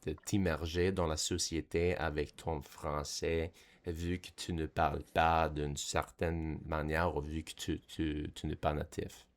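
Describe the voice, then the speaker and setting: Man, podcast host, very low voice, animated, conversational, repeats words while thinking. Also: measured pace. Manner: animated, explaining, checks in with "tu sais?". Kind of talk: casual conversation.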